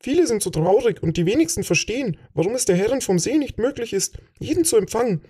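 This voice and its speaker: A man's voice: high-pitched, animated, fast talker, mellow, slightly rough, pitched above the performer's own voice. A man voicing an overworked, kindly servant woman who laments and apologises, in a fantasy audiobook. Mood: weary lamenting. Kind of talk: audiobook character voice.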